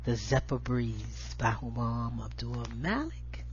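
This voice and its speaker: A woman reciting spoken-word poetry as a show host, with emphatic, dramatic, deliberate phrasing. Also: rumbling low, highs cut off, a little hiss or hum.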